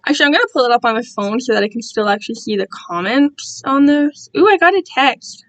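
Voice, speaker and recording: A young woman chatting casually on a livestream in a rambling, animated way, often interrupting herself. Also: a little high, quick pace. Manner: animated, self-interrupting, quotes herself and others dramatically. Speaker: livestream host and amateur fan fiction reader.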